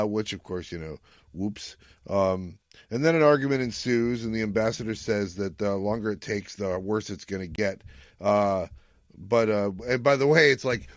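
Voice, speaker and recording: A man's voice: mid-range voice, dry recording, clean studio sound. A man hosting a podcast, casually recapping an episode and jokily paraphrasing its dialogue. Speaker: podcast host.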